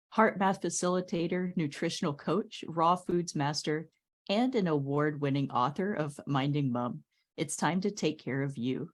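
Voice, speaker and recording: A woman hosting a podcast, introducing her guest warmly and enthusiastically. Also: low-pitched. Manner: warm, admiring, enthusiastic. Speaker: podcast host. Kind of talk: interview host.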